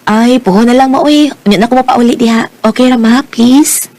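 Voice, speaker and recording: An actress playing an earnest, stubborn, heartbroken teenage daughter in a radio drama, with a Filipino Bisaya accent. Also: female voice, fairly high pitch, fairly quick, a little mellow, no room sound, close to an everyday voice. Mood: calm. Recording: noisy radio drama track.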